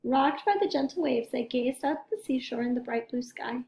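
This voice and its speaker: A woman reads aloud to children in a high voice with plenty of fry. She is expressive, like a teacher, and speaks straight to her young listeners. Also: normal speed. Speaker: teacher reading a picture book aloud.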